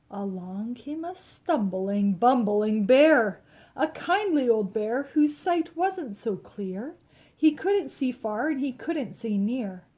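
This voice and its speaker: A woman reads a children's storybook aloud in a somewhat high voice. She leans into the rhymes with animated, dramatic emphasis, stretching out key words for young listeners. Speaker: storybook reader. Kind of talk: expressive read-aloud of a rhyming children's picture book.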